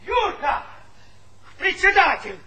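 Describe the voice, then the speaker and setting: A man playing a feisty old village grandfather in a stage comedy, husky and histrionic, exclaiming in theatrical outrage. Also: extremely high pitch, sing-song, slow, pushed and bright, heavily acted. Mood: sarcastic, urgent. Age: older. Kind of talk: Soviet stage comedy acting.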